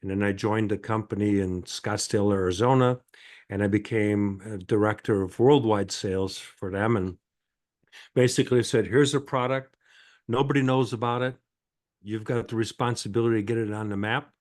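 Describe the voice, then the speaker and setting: An older man, answering as a guest in an interview in a halting, conversational way. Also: on the low side, fairly even intonation, a little mellow. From born in France, grew up in Michigan, United States. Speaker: podcast guest, sales and marketing consultant.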